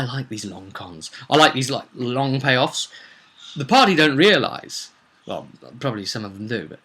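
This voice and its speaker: A man's voice: on the high side, bright, forceful tone. A man speaking as a game master, recapping a roleplay session in a casual, rambling, digressive and jokey way. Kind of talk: casual rambling vidcast storytelling.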